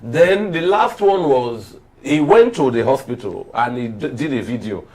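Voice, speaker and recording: A man's voice: medium pitch, animated, a little slow, a little mellow. A man with a Nigerian accent speaks on a political discussion panel. He tells his points like stories, emphatically, stopping and repeating phrases to drive them home. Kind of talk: political discussion, storytelling commentary.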